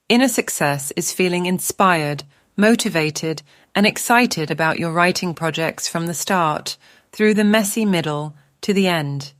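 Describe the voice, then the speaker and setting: A woman author and coach narrating her own self-help audiobook in a measured, deliberate, instructional way. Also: on the low side, no echo, studio quality.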